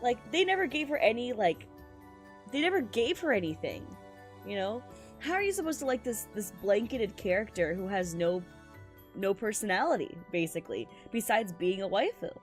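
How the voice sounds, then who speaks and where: A woman streaming a game with casual, excited commentary, slangy and sweary in an expressive way. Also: medium pitch, normal speed, soft background music.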